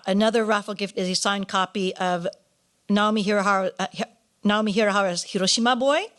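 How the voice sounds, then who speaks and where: A woman hosting a live awards ceremony in a playful, animated, partly ad-libbed way. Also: mid-range voice. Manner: animated, playful, exclamatory asides; drops Japanese phrases into English. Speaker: ceremony emcee.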